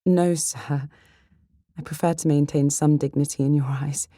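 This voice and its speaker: A woman voicing a witty, candid, level-headed young Regency heroine in an audiobook, poised and measured. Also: sits low, fairly even intonation, mellow, no room sound, studio quality, voice pushed up. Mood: playful.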